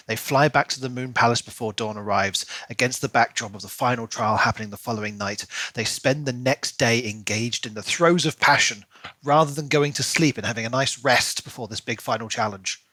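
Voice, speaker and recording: A man's voice: mid-range voice, bright, forceful tone, no echo. A man co-hosting a podcast about books, chatting and reading out plot recaps. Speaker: podcast co-host. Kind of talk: casual book chat and reading a written plot recap.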